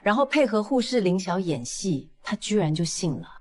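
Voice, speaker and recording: A woman's voice: mid-range voice, very animated, normal speed, a little mellow. Vengeful young female criminal played by a woman, audio drama; cold, contemptuous delivery. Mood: cold, scornful. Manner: contemptuous, coolly taunting. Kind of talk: dramatized audio fiction acting.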